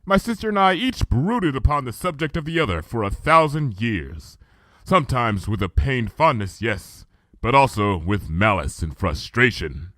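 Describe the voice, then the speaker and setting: A man's voice: medium pitch, big pitch swings, normal speed, fairly bright tone, no room sound. A man voicing a regal, archaic-speaking female moon princess pony in a fan fiction reading, with formal thee-and-thou speech. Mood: solemn.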